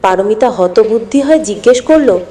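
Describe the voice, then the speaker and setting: A woman's voice: fairly high pitch, expressive, moderate pace, mellow. A woman narrating a scripted moral story in an earnest, dramatic storytelling manner. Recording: long hall-like reverb.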